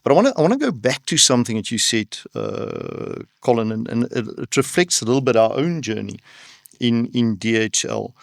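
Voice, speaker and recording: Gravelly-voiced man hosting, dry sound. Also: medium pitch, big pitch swings, dry recording. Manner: measured, reflective questions with filler uhs. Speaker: CEO and podcast host. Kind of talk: conversational interview hosting.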